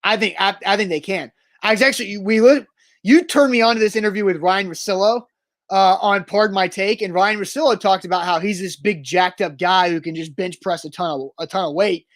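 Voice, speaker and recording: A man's voice: high-pitched, a little fast, a bit bright, no echo, studio quality. A man co-hosting a sports talk show, matter-of-fact and teasing, laying out his points with pedantic precision. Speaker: sports radio co-host. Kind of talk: casual sports talk banter.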